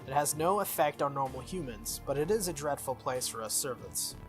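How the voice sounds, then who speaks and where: A man voicing a formal, earnest young female knight from a visual novel, in stiff, measured phrasing. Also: fairly high pitch, expressive, over music, close to an everyday voice. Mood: firm, explanatory. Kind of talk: visual novel character read aloud.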